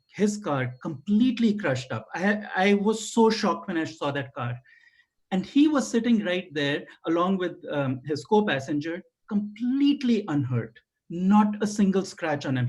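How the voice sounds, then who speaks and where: A man from India with an Indian accent, speaking earnestly on a video call, with many fillers and restarts, as he introduces a guest. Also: a little high. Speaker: webinar moderator, professor.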